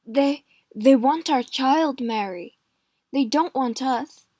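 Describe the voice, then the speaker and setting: A woman's voice: sits high, slow, dark tone, dry recording, clean studio sound. A woman reading a storybook aloud and voicing a frightened, whining young husband who pleads tearfully. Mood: frightened pleading. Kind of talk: audiobook reading.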